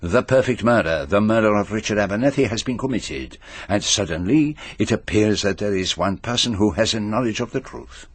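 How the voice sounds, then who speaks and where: An actor playing a courteous, shrewd Belgian detective with a French-speaking accent, questioning formally and deliberately in a radio mystery drama. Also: male voice, lowish voice, lively intonation, husky, no echo. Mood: shrewd, emphatic.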